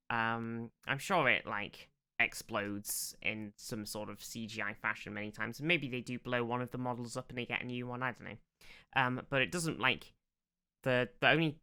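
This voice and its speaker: Bright, clear podcast co-host. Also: mid-range voice. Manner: informal, sweary, rambling. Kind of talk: casual banter.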